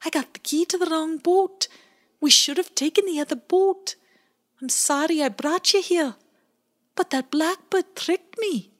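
A woman's voice: very high voice, measured pace, pitched above the performer's own voice. A woman voicing a timid little male sidekick who sounds anxious, apologetic and close to tears.

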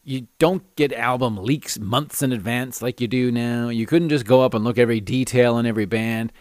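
A middle-aged man, hosting a solo podcast with casual, animated, self-amused stories and rants. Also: medium pitch, normal speed, no room sound, clean studio sound. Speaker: podcast host. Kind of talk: casual solo storytelling and ranting.

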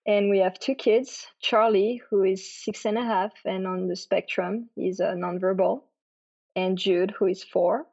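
A woman in her thirties from France with a French accent, answering interview questions in a relaxed way with many fillers. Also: mid-range voice, unhurried, vocal fry, husky, highs cut off. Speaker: guest author.

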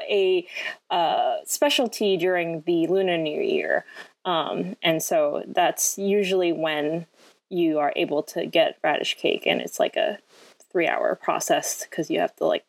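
A woman's voice: on the low side, no echo. A woman raised in France and Hong Kong, talking as an activist guest in a casual interview, with many ums and restarts. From born in France, raised in Hong Kong.

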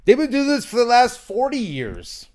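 A man in his thirties talking politics casually, animated and questioning, with some swearing, as a podcast host. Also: very high voice, moderate pace, no echo, clean studio sound. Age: 30s.

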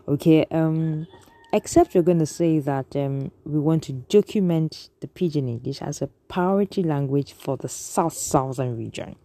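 A woman's voice: low-pitched, mellow, no room sound. A Nigerian woman giving an earnest, lecturing opinion monologue to an audience, often restarting her sentences.

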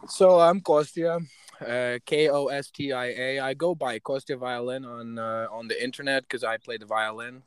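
A man's voice: medium pitch, fairly even intonation, normal speed, smooth, dry recording. A young man from western Ukraine, a violinist guest, talking in a laid-back, slangy way with casual swearing. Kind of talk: casual interview chat. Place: outdoors by a road with passing cars.

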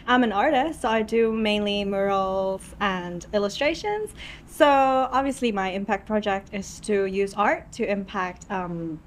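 A young adult woman from Hong Kong, a podcast guest who talks in a lively, expressive way and jumps in eagerly. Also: medium pitch, measured pace, slight background noise. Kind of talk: casual interview chat.

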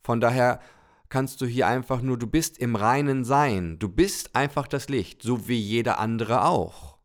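Man doing God, calm, patient, kindly, gently explaining, storybook podcast. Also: medium pitch, unhurried, natural voice.

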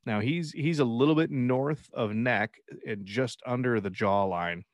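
A man's voice: mid-range voice, a little slow, dry recording, clean studio sound. A man in his thirties co-hosting a podcast, rambling and teasing in casual banter with light swearing. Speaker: podcast co-host.

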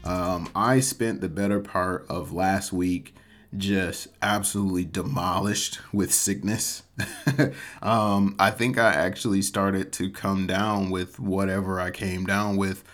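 A man hosting his own podcast in a relaxed, chatty way, his voice still a little off after being sick, often tossing in "man" and poking fun at himself. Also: sits low, slow. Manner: laid-back, chatty, says "man" a lot, self-mocking. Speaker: podcast host. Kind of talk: casual solo podcast talk.

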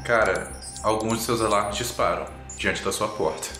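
A man's voice: fairly low pitch, fairly even intonation, normal speed. Brazilian game master, man, echoey room. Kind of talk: narrating a tabletop game. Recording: roomy echo, music underneath.

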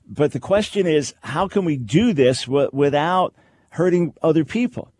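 A man's voice: fairly high pitch, lively intonation, moderate pace, darkish tone. An American man talking off the cuff as a radio host, conversational, posing rhetorical questions and acting out examples. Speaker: radio talk show host. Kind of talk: unscripted talk radio commentary.

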